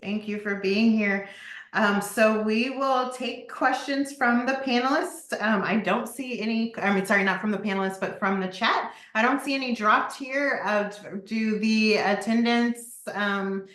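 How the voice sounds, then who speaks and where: A woman's voice: on the high side, moderate pace, a bit bright. Woman moderating in a roomy echo. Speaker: panel moderator, doctor. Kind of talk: hosting an online panel discussion. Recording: roomy, echoing sound.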